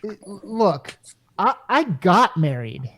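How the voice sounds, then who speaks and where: Casting: woman as hapless married everyman for radio comedy, hesitant and flustered, very animated but unhurried. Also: medium pitch, breathy edge, heavily acted. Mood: bashful panic. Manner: stammering, hesitant. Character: flustered, henpecked married repairman Frank, a nervous everyman.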